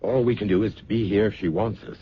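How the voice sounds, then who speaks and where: Deep-voiced, patient, haunted husband. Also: male voice, steady tone, quick pace, husky. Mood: calm, protective. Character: patient, protective husband and stepfather, quietly haunted. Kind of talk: old-time radio drama acting. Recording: dull old radio recording, faint noise.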